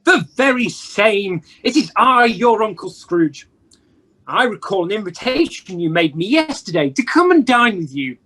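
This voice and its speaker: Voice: medium pitch, very animated, pushed and bright, full character voice. Someone acting a theatrical, repentant old miser. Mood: grandly earnest. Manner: theatrical, deliberate, emphatic. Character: reformed old miser uncle, gleefully repentant, teasing. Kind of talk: amateur radio play acting. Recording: home video call audio.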